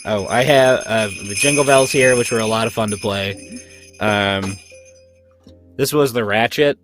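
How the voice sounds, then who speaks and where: A man's voice: on the low side, measured pace, a bit bright, faint music behind. A man who did the sound effects, chatting casually on a video call and laughing at himself. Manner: chatty and self-deprecating, laughs often. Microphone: home mic on a video call.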